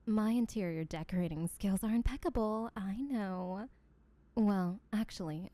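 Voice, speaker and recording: A woman's voice: medium pitch, warm tone, natural voice. A woman playing an obsessive, boastful yandere sibling in a comedic audio roleplay, rambling in a smug, teasing, sweetly menacing way.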